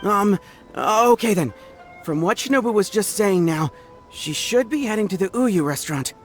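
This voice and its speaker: A man's voice: sits high, expressive, normal speed, soft background music. A man voicing a nervous, loyal young gang underling in a video game, hesitant and anxious. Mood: worried.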